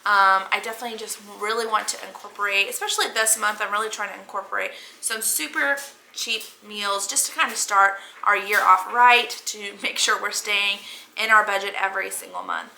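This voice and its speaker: A hoarse woman in her thirties hosting a casual cooking vlog, chatty and full of ums and likes. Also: mid-range voice, fairly even intonation, fairly quick, pushed and bright, slight background noise, low-quality recording. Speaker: cooking channel host.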